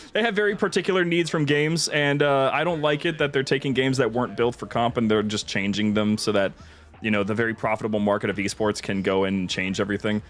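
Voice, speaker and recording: A man's voice: medium pitch, steady tone, brisk, fairly bright tone. A man chatting casually and giving his opinions on a gaming stream. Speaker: stream host.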